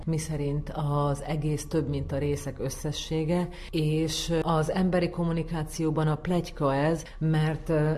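Female expert, low pitch, dark soft tone, explanatory talk. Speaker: social psychologist, interviewed expert.

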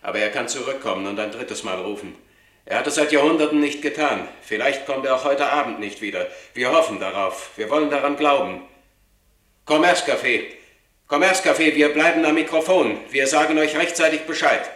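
A man's voice: mid-range voice, fairly quick, fairly bright tone, close to an everyday voice. A man acting in a radio play as a solemn, anxious operator relaying grave warnings over a microphone. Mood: urgent announcing. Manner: grave, announcing. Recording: echoey, distant from microphone.

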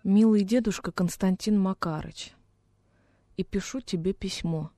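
A woman's voice: fairly low pitch, mellow, no echo, clean studio sound, close to an everyday voice. A woman voicing a naive, lonely orphaned peasant boy reading out his plaintive letter in a radio story. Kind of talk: dramatized literary reading for radio.

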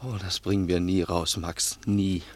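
A man's voice: sits low, flat delivery, measured pace, a little mellow. A male actor playing a nervous, resentful small-time crook in an agitated, insistent way in a 1960s German radio play. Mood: anxious. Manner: agitated, insistent, repeating himself.

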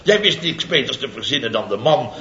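A man's voice: medium pitch, big pitch swings, fairly quick, bright, forceful tone, a little hiss or hum. An actor playing a blustering male company boss who laughs through his lines, in 1960s radio comedy style, on a lo-fi old radio recording with audience laughter in a roomy hall. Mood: teasing. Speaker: boss of a building firm.

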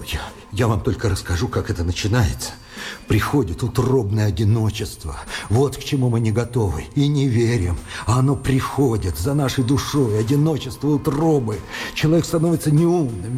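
A man's voice: medium pitch, animated, normal speed, mellow, close to an everyday voice. An actor playing an educated, ashamed man who confesses earnestly and insistently in a radio drama. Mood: anguished. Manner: earnest, insistent, repeating himself. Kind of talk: Russian radio drama acting.

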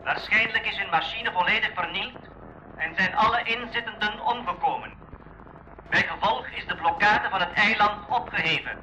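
Stern male commander, high, very sharp formal radio bulletin, narrow sound, faint noise. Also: expressive, moderate pace, heavily acted. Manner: formal, emphatic. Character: stern naval destroyer commander broadcasting an announcement. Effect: radio transmission.

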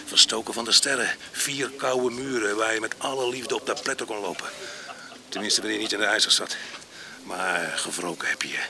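An actor playing a rough, embittered ex-convict bent on revenge, brooding and dramatic in a radio drama. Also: male voice, lowish voice, fairly even intonation, a little fast, a crowd in the background. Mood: bitter, vengeful.

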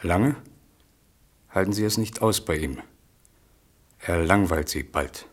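A sober chronicler in a radio drama. His voice is deep, and he reports with detachment. The phrases come measured and clipped, like careful observations. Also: slightly rough, no echo, heavily acted. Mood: hushed, detached. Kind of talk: German radio play narration.

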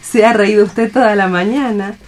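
An actress playing a shy, lonely, childlike young nurse in a radio play, earnest and naive. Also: female voice, mid-range voice, moderate pace, a little hiss or hum, natural voice. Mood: tender, happy.

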